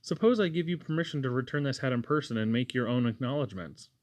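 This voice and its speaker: A man voicing a keen, brisk businessman father in a storybook, formal and questioning. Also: medium pitch, lively intonation, a little mellow, natural voice.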